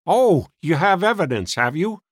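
A man voicing an irritable, suspicious old gentleman in an audiobook, testy and scoffing. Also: a little high, big pitch swings, moderate pace, breathy edge, no echo, clean studio sound, voice pushed up. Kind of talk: audiobook character voice.

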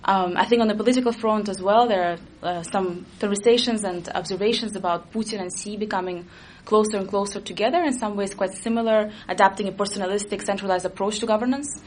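Fast-talking woman, Russian native speaker. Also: mid-range voice, steady tone, slight background noise, low-quality recording. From Latvia. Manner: quick, analytical, with frequent fillers and restarts. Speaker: interview guest, China-Russia expert. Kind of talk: interview answers.

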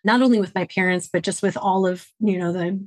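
A woman's voice: fairly low pitch, steady tone, brisk, a little mellow, dry recording. Earnest, reflective woman from Detroit. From Detroit, Michigan, USA. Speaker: podcast guest, consultant. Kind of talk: conversational interview answers.

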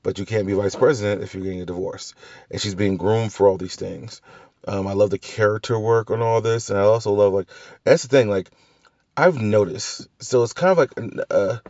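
Low-voiced man rambling through a review. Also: brisk, a little mellow, dry recording, lo-fi sound. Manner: rambling with tangents, frequent restarts and mild swearing. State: slight cough left after flu. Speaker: podcast host reviewing TV shows. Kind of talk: casual solo review chat.

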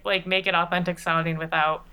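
A woman's voice: on the low side, level tone, a little fast, bright, forceful tone, smooth. A woman chatting casually and playfully as a guest in an interview. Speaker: podcast regular and voice performer being interviewed.

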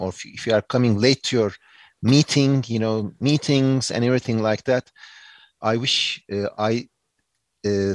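A man's voice: medium pitch, no room sound. A man from Turkey with a Turkish accent, giving earnest, reflective answers as an interview guest, with many fillers and restarts.

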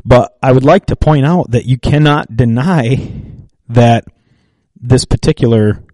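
A man with a mellow, warm voice who speaks slowly and carefully, pausing often and restarting his sentences. The sound is dry and close, with no room behind it. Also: medium pitch, no echo. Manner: thoughtful, halting, with restarts and fillers. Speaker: podcast co-host. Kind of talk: casual chat.

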